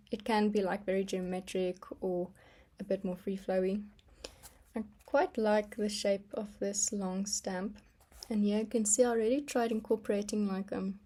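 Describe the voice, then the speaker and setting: Young woman, first language Afrikaans, relaxed rambling artist vlogger; unhurried, mellow, lots of ums. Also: mid-range voice. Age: young adult. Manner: rambling, soft-spoken, with frequent ums and small laughs. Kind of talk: casual rambling vlog while stamping and sketching.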